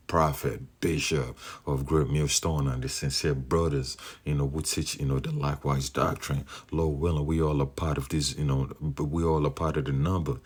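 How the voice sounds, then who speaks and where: Very deep-voiced man recounting dreams. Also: breathy edge. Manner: earnest, many fillers, slow drawn-out phrasing. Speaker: believer sharing dream visions. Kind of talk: rambling personal testimony.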